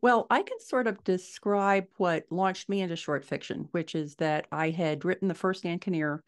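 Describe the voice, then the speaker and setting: Woman, middle-aged, low register. Author guest, explaining in a conversational interview style. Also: normal speed. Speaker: podcast guest, fiction author. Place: indoors at home, small furnished room. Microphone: video call.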